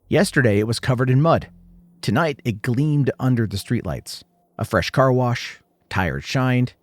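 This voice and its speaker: A man's voice: mid-range voice, no room sound. A man narrating a scripted first-person horror story in short, suspenseful, dramatic phrases.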